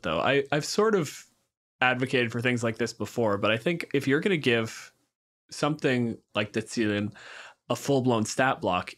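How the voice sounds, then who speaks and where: A man in casual discussion on a podcast panel, speaking in a measured, firm way. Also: mid-range voice, a bit bright.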